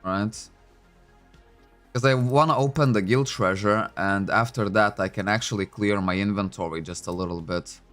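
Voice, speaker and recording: A man's voice: on the low side, normal speed, fairly bright tone, soft background music. A man whose first language is Lithuanian, chatting casually at home into a desk microphone while playing a game, thinking aloud with many fillers. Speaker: game streamer. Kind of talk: casual livestream commentary.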